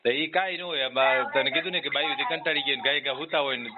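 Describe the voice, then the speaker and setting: A man's voice: medium pitch, fast talker, over a noisy crowd. A man with a Kathiawadi Gujarati accent chatting casually on a comic phone call, in colloquial rural banter full of rhetorical questions. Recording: tinny phone call with background noise.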